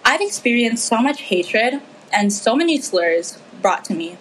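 A woman's voice: fairly high pitch, very animated, measured pace, slight background noise, low-quality recording. A teenage girl from the Philippines talking earnestly and with feeling in a casual discussion, with frequent fillers. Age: teens. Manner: earnest, impassioned, many fillers.

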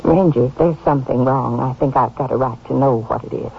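A muffled old radio recording of a small-town American aunt. Her voice is husky, and she speaks politely and chattily with a troubled, obliging manner. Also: a bass voice, brisk, full character voice. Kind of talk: 1950s radio drama acting.

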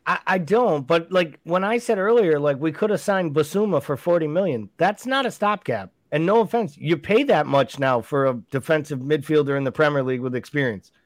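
A man arguing his case in a casual sports chat, pushing hard and asking rhetorical questions. His voice sits a little high for a man and sounds dry and close, with no room around it. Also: animated, a little fast, few pauses, dry recording. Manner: emphatic, argumentative, rhetorical questions. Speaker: podcast co-host.

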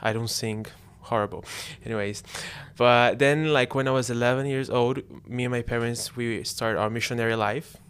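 A young man from Brazil with a Brazilian Portuguese accent, a university student speaking English as a second language, telling his faith story in a relaxed, halting way. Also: medium pitch, smooth. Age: young adult. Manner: relaxed, halting non-native phrasing. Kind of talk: casual faith testimony chat.

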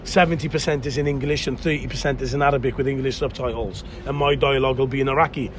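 A Welsh actor in casual podcast chat, telling rambling, animated stories. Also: male voice, mid-range voice, fast, background noise. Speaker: actor guest.

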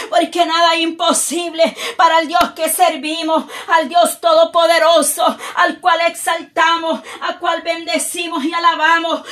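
A woman leading a fervent spoken prayer, pouring out repetitive invocations and often shouting. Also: very high voice. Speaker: prayer leader.

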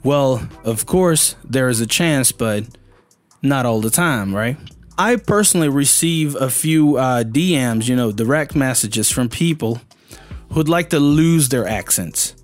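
A Brazilian man whose first language is Portuguese, teaching English in a relaxed, chatty way, often saying "you know" and "man". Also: mid-range voice, a little slow, soft background music. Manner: chatty, fills with "you know" and "man", acts out learners' voices. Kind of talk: casual video lesson.

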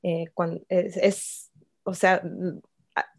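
A woman from Chicago talks through her profession as an interview guest. She speaks at a slow, unhurried pace, pausing on fillers and breaking into small laughs. Her voice sits a little low. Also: little pitch movement, creaky, darkish tone, husky. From Chicago, United States. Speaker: bilingual speech-language therapist, guest. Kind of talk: relaxed interview answers.

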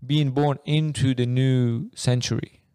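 A man's voice: mid-range voice, fairly even intonation, unhurried, mellow, clean tone, no echo. A young man from Afghanistan, co-hosting a podcast in loose, rambling casual chat full of slang like bro and man. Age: young adult. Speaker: podcast co-host.

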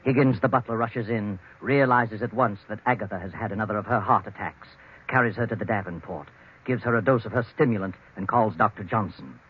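An actor as an ominous, all-knowing narrator, telling a crime tale in dramatic old-time radio style. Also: male voice, fairly low pitch, a little fast, slight background noise, lightly acted. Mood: ominous, urgent. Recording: muffled old radio recording.

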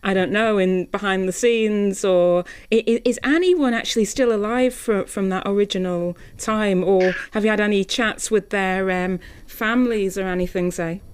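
Smooth-voiced woman interviewer. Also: mid-range voice, moderate pace, few pauses. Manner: hesitant, searching phrasing with many repeats. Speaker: radio interviewer. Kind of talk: casual interview chat.

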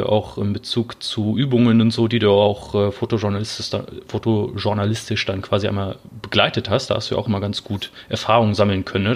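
A young man in his twenties hosts a relaxed podcast chat, his voice sitting a little low. He often pauses and fills the gaps with small hesitation sounds as he searches for words. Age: 20s. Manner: hesitant, many filler sounds. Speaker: podcast host. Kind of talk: casual podcast conversation.

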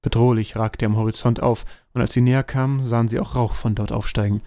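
Male narrator for a fantasy audio drama, soft dark timbre, mid pitch. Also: steady tone, fast, dry recording, clean studio sound.

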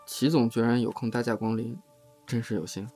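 An actor playing a reserved, polite young man who speaks softly and hesitantly in an audio drama. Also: medium pitch, steady tone, brisk, soft background music, natural voice. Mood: guarded. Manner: hesitant, courteous, restrained. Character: soft-spoken, reserved, polite young man seeking work. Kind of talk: Chinese audio drama acting.

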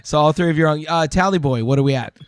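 A man's voice: a little high, rapid-fire, clean tone, no echo, clean studio sound. A man hosting a podcast quiz in a casual, chatty, teasing way, running the game as he banters. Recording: video game music playing under voice. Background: video game music.

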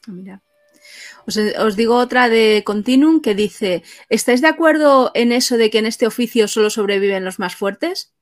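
Higher-voiced woman reading out questions. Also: lively intonation, normal speed. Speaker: interviewer reading audience questions.

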